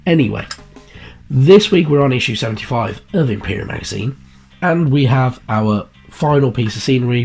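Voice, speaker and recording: A man hosting a miniature painting channel, croaky and bunged up with a heavy cold, chatting casually with lots of fillers and restarts. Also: medium pitch, a little mellow. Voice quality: husky. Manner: rambling, many fillers and restarts. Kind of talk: casual video chat about a magazine issue. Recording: background guitar music, cheap mic.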